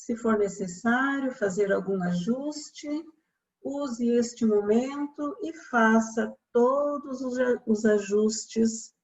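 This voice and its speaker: Brazilian female yoga instructor, very unhurried and soothing, for guided relaxation; slightly muffled, lo-fi recording. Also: a little high. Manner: calm, soothing instruction with long pauses.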